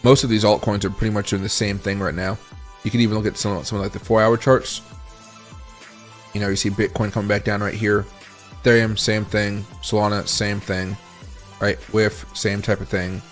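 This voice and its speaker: Tired, raspy male crypto streamer. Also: on the low side, flat delivery, normal speed, faint music behind. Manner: rambling, thinks aloud while scrolling charts and reading chat. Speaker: crypto livestream host. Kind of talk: casual livestream chart analysis.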